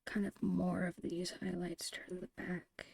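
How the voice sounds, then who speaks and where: A woman's voice: fairly low pitch, level tone, unhurried, a little mellow, no echo. A woman whispering in an ASMR style, casually thinking aloud in short phrases as she narrates her own digital painting. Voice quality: breathy whisper.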